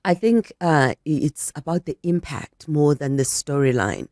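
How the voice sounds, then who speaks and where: An older woman, a guest on a radio interview, telling her story in a measured, reflective way, a little croaky from a flu. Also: deep voice, animated, a little mellow, no echo, clean studio sound. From South Africa. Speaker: actress and guest.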